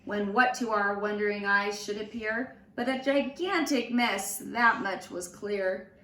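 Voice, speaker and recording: A woman reading a children's storybook aloud in an expressive, animated storytelling manner. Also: medium pitch. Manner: slow, animated storytelling with acted voices. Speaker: storybook reader. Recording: roomy, distant mic with faint noise.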